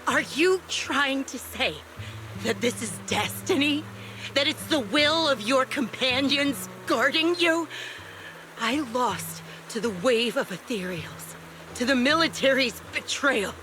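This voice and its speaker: An actress playing a bitter, vengeful, dying veteran sniper in a video game, speaking in a grave, halting way. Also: female voice, high voice, lively intonation, background noise. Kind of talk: dramatic video game voice acting. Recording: music behind the voice.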